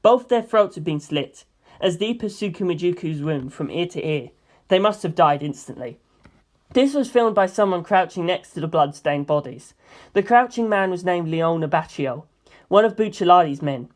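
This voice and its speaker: A woman reading a first-person novel aloud as an amateur audiobook narrator, with expressive delivery. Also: lowish voice, moderate pace.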